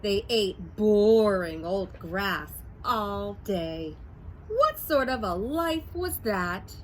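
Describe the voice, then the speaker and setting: A woman teacher slowly reading a picture book aloud to first graders, clear and expressive. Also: medium pitch, a little hiss or hum. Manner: slow, clear, expressive storytelling. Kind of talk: read-aloud for young children.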